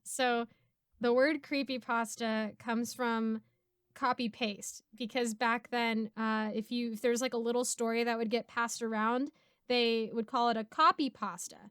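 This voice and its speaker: A woman's voice: a little high, fairly even intonation, unhurried, a bit bright, smooth, no room sound, clean studio sound. A giggly, chatty woman with an American accent, casually answering fan questions as host. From United States. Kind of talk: casual Q&A chat.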